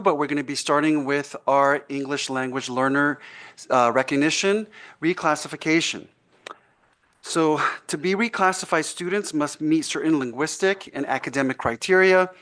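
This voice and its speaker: A man presenting a student recognition at a school board meeting, relaxed with frequent ums. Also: mid-range voice.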